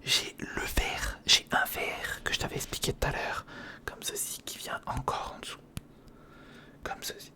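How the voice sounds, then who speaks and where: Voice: measured pace, sharp tone. An ASMR host whispering breathily and close to the mic, thinking aloud to the listener through a step-by-step build. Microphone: close recorder or studio condenser microphone.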